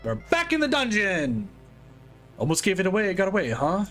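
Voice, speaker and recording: A man's voice: high-pitched, huge pitch swings, moderate pace, a bit bright, soft background music, pitched above the performer's own voice, full character voice. A man voicing a smug, manipulative male villain who taunts with theatrical mockery. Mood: taunting. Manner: mocking and theatrical.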